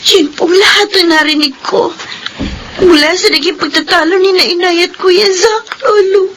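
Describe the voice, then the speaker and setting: An actress playing a caring young Filipino daughter in a radio drama, polite and expressive. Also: female voice, extremely high pitch, moderate pace. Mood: worried, plaintive. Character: sweet, caring young daughter and little sister. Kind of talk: Tagalog radio drama acting. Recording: faint music under the voice.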